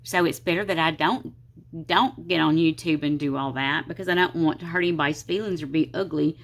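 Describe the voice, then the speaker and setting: Brief: sick woman vlogging from her home desk, low gravelly voice, congested and worn out. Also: a little fast. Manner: tired, low-energy, rambling. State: sick, congested, sore throat. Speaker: planner vlogger. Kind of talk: casual vlog talk to viewers.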